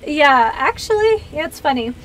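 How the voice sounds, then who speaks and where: Higher-voiced American woman chatting on stream. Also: sing-song, normal speed, a bit bright, slight background noise, low-quality recording. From US East Coast, Pennsylvania. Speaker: video game streamer and host. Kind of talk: casual livestream chat with viewers.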